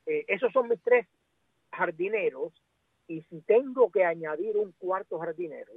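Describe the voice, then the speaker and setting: A man's voice: sits high, expressive, moderate pace, slightly rough, no echo. A man with a Cuban accent discussing sports casually as a guest commentator, emphatic and repeating words, over a narrow radio or phone-like connection. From Cuba.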